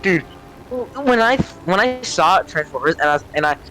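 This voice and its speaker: A young man with a fairly high-pitched voice tells stories in a casual chat. He rambles, hunts for the right word and swears freely. A faint vehicle-like noise runs behind him. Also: expressive, moderate pace, bright, forceful tone, rough recording. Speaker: storyteller on a gaming channel. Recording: clear vehicle-like background noise.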